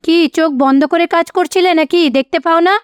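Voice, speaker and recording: A cartoon mother-in-law, older and hard-hearted, acted out by a woman. Her voice sits high and cuts sharply as she bosses and scolds. The recording is dry, without any room sound. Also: normal speed, dry recording. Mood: angry scolding.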